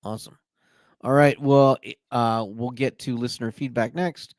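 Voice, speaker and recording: A man's voice: medium pitch, steady tone, normal speed, a little mellow, no echo. Male podcast host, conversational and informal, dry close-up recording. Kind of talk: casual podcast chat.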